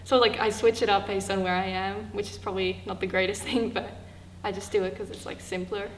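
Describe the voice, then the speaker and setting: A woman's voice: medium pitch, flat delivery, fairly quick. A teenage girl with an American accent, talking in a chatty way with many fillers in a documentary interview. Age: teens. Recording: echoey room with faint hum.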